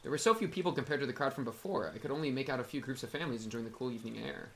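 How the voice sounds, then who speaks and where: Bright-voiced, slangy visual novel streamer. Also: medium pitch, rapid-fire, a little hiss or hum. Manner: slangy, wry. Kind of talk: casual reaction commentary, reading game narration aloud.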